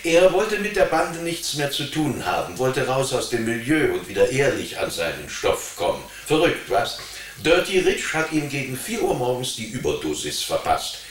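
A man's voice: medium pitch, sing-song, moderate pace, reverberant, distant mic, close to an everyday voice. An actor playing a taunting male police investigator, smug and needling, in a radio drama interrogation. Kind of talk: German radio drama acting.

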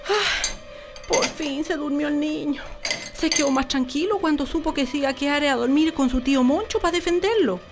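A woman's voice: sits high, normal speed. A woman acting an anxious, superstitious, bossy country wife in a radio drama, speaking colloquial Chilean Spanish. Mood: tender, homely. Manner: colloquial, exclamatory country speech. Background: prominent music.

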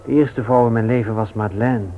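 An actor playing an earnest, reserved widowed father in a radio play, speaking in a hesitant, halting way. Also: mid-range voice, steady tone, close to an everyday voice. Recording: tinny old radio recording with hiss.

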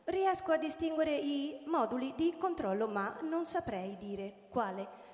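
A dispassionate office computer in a radio drama, formal and procedural. The pitch is a touch high and moves in wide, lively curves. Everything echoes around a big reverberant space. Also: female voice, a little slow. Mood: formal, detached. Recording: very echoey hall.

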